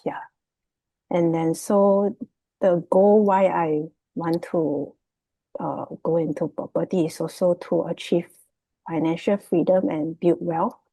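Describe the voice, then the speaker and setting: Husky Singaporean woman, slightly low and mellow. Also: no echo, low-quality recording. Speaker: guest, property investment student. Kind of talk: casual unscripted presentation. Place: remote video call.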